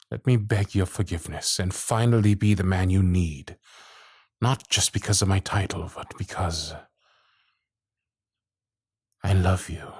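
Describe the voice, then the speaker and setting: Man playing husky, tender young gentleman in love. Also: deep voice, no echo, clean studio sound, full character voice. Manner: tender, halting, pleading. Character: earnest, lovestruck young gentleman, former servant, proposing. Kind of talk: romantic ASMR roleplay acting.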